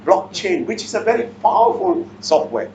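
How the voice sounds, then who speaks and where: Male seminar speaker, Malaysian or Singaporean accent, blunt and quizzing, higher-pitched voice, distant mic in an echoey room. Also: very animated, moderate pace, warm tone, dull audio, a little hiss or hum. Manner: blunt, interactive, repeatedly challenges listeners with questions. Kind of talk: motivational seminar talk, quizzing the audience.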